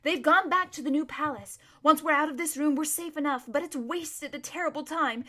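A brave young noble girl on the run, acted by a woman reading aloud. Her voice is high and urgent. She is sharp-tongued and impatient, speaking in firm, scolding bursts. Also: fairly quick.

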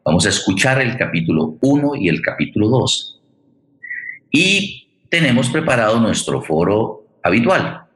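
A man, speaking formally and haltingly as he welcomes listeners and introduces an online radio theatre programme. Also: fairly low pitch, sing-song, fairly bright tone. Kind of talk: spoken introduction to a live online forum. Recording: roomy, echoing sound.

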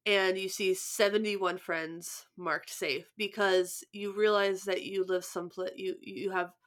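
A woman's voice: medium pitch, little pitch movement, unhurried. A young adult woman co-hosting a podcast, chatting casually and rambling through stories with many restarts, sounding stuffed up with sniffles. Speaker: podcast co-host.

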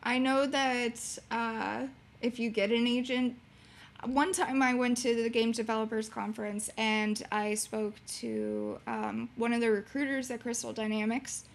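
A young woman with a fairly high, youthful voice chats casually as an interview guest. Her manner is bubbly and giggly, and she talks about her work in an informal, conversational way. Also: fairly even intonation, a little slow, vocal fry. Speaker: podcast guest, streamer and beginning voice actor.

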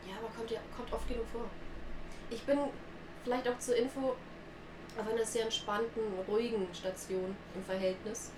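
Young woman, 19, slightly high voice, animated casual storyteller; reverberant room, noticeable noise. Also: moderate pace. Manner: lively, animated storyteller who laughs at herself. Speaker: podcast co-host. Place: sitting on a church pew. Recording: echoey room with clear background noise.

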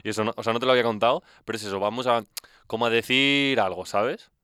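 A man's voice: medium pitch, quick pace, bright, forceful tone, smooth, no room sound, clean studio sound. A young man from Spain co-hosting a university radio show, trading jokes and teasing banter. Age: young adult. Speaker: co-host. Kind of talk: casual radio chat.

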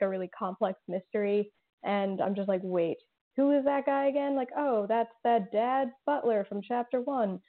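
A woman with a mid-pitched voice speaks as a guest on a podcast. She chats informally, and the audio is narrow and phone-like, as if over a call. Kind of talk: casual interview chat.

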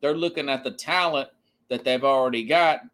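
A man's voice: mid-range voice, moderate pace, a bit bright. A man hosting a sports podcast, giving an opinionated, conversational monologue and repeating phrases for emphasis.

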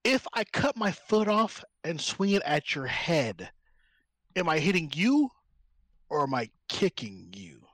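A man with a lively, swooping pitch and a slightly raised voice jokes around as a podcast co-host. The sound is dry and close, and he laughs easily and slips into mock rants. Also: a little slow, dry recording. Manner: laughs easily, playful and ranting. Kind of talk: casual comedic podcast chat.

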